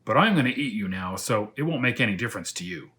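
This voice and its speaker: A man voicing a vain, hot-tempered lion in a children's storybook, loud and blustering. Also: lowish voice, expressive, quick pace. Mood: upset, grumbling. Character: angry, vain, blustering male lion, scolding and boastful.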